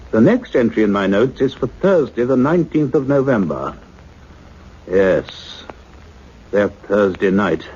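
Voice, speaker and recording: Earnest male scientist, deliberate formal delivery, slightly rough voice, dull lo-fi radio drama sound. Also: medium pitch, a little mellow. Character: earnest male scientist doctor narrating his investigation. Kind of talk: radio play acting and narration.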